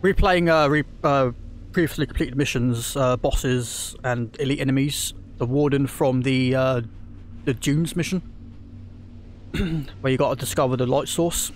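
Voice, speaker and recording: A man's voice: medium pitch, a little slow, a little hiss or hum. A man giving casual let's-play commentary, rambling with lots of ums and restarts, recovering from a throat infection. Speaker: gaming YouTuber.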